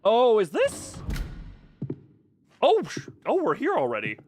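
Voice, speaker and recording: A man's voice: high voice, wildly swooping pitch, slow, a little mellow. A man giving casual live gameplay commentary, rambling, self-deprecating and thinking aloud. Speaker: video game streamer. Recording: faint background noise and game ambience.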